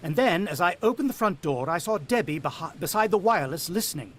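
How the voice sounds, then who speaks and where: A man's voice: high voice, lively intonation, quick pace, noisy background. An actor playing a proud, short-tempered, condescending husband who also narrates his ordeal in a radio drama. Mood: tense, ominous. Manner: condescending, irritable. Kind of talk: radio drama acting with first-person narration.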